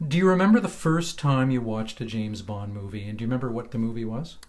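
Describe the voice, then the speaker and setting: A male podcast host speaks at a middle pitch as he interviews a guest. His phrasing is halting, feeling its way toward each question. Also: darkish tone.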